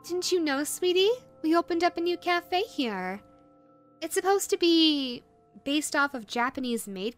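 A woman voicing a possessive, sweetly menacing yandere rabbit woman in a roleplay audio drama, coaxing and condescending in a saccharine way. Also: high voice. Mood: sweet, doting.

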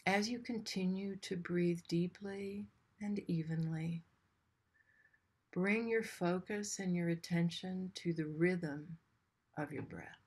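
A woman with a fairly low voice guides a meditation. She speaks very slowly and gently, in short phrases set apart by long pauses, keeping a soothing manner throughout. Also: breathy edge.

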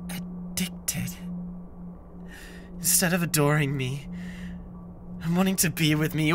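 A man's voice: sits high, gravelly, exaggerated voice. A British man playing an obsessive, unhinged young yandere classmate in an intimate, menacing ASMR roleplay. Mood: desperate, angry. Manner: menacing, intimate, slow and theatrical.

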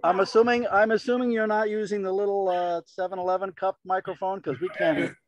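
A man's voice: high-pitched, fairly even intonation, a little fast. A man cutting in bluntly to complain he cannot hear. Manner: blunt, exasperated. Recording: dull lo-fi sound.

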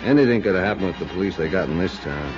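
A bitter, lovesick cynic. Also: male voice, sits low, expressive. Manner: slow, bitter, world-weary. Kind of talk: 1950s radio drama acting. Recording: lo-fi vintage radio with music.

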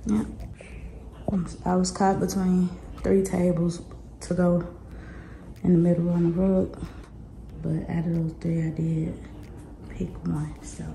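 A woman in her thirties with a raspy voice, chatting casually and laid-back through a home vlog, sometimes dropping to a soft aside. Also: on the low side, echoey room, noisy background. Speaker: home vlogger. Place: living room in a house. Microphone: phone camera held or set on a table. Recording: background music under the voice.

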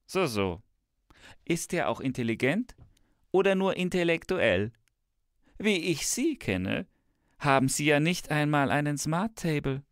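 A man plays a tech-loving professor in a satirical two-hander, teasing and grand. His pitch moves a lot as he stretches words for theatrical effect. The sound is close and dry, with little room in it. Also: big pitch swings, dry recording. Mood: teasing, smug. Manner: pompous, theatrical, drawn-out emphasis. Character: smug, tech-enthused male professor, grandly visionary and teasing. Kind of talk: scripted satirical dialogue.

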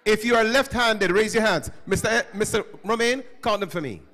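A man's voice: sits high, fairly quick. A middle-aged man teaching students at a school chapel, questioning and calling on them, on a handheld microphone. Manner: animated, questioning, calls on listeners. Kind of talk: interactive talk to students.

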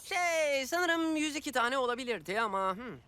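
A woman's voice: high voice, animated, normal speed. A woman voicing a playful, food-loving, slightly self-important talking cat in a theatrical, wordy children's cartoon style. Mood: smug, greedy. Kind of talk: animated children's cartoon dub acting. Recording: music behind the voice.

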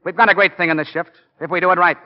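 A man's voice: high voice, fairly even intonation, lightly acted. Driven old-radio football coach, acted by a man. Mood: eager, rousing. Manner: brisk, emphatic, folksy. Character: driven, earnest American college football coach. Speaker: actor in radio drama. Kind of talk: 1940s radio acting. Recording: muffled 1940 radio broadcast.

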